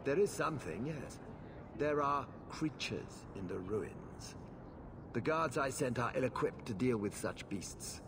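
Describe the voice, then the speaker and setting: An actor playing a haughty old city magistrate in a video game, speaking in a pompous, commanding manner. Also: male voice, medium pitch, lively intonation, measured pace. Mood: imperious. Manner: pompous, imperious. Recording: noisy ambient background.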